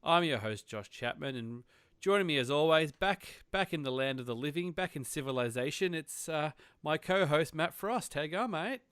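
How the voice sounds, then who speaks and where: A man's voice: a little high, expressive, moderate pace, fairly bright tone, clear, resonant tone, no room sound, studio quality. An Australian man hosting a podcast in casual chat, laughing easily and thinking aloud as he goes. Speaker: podcast host.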